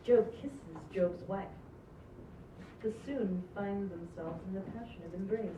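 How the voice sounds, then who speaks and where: A woman's voice: mid-range voice, measured pace, dark tone. A woman reading scripted stage directions as narration at a live table read. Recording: distant, echoey, muffled, noisy room.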